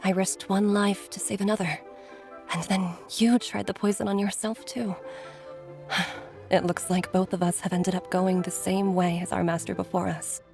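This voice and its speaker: A woman's voice: mid-range voice, little pitch movement, moderate pace, vocal fry, darkish tone, soft background music, lightly acted. A woman voicing an earnest, sighing doctor mother. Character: caring middle-aged doctor and mother.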